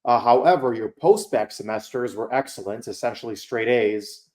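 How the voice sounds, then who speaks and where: A man runs a mock admissions interview and puts each question in a formal, deliberate way. His pitch sits in the middle. He sounds practiced at his role. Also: a little mellow.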